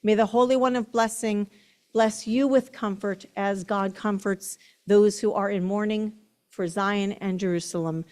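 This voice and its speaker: A woman rabbi leading a service, reading and reciting prayers in a solemn, measured, formal way with careful enunciation. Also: mid-range voice, fairly even intonation, a little mellow.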